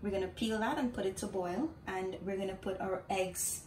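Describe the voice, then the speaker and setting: A woman with a Guyanese accent hosting a home cooking tutorial, giving calm step-by-step instructions and often addressing viewers as "guys". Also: mid-range voice, normal speed. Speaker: cooking channel host. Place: home kitchen. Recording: home recording with audible kitchen noise. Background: dishes, pots and kitchen clatter.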